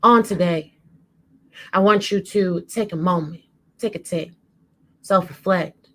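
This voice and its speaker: Woman, very slow deliberate delivery, lowish pitch, impassioned spoken-word monologue, dramatic emphasis.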